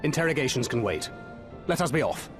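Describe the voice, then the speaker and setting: A man's voice: mid-range voice, very animated, brisk, music in the background, close to an everyday voice. A man playing a composed, businesslike soldier with a formal, matter-of-fact manner in a video game cutscene. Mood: brisk urgency.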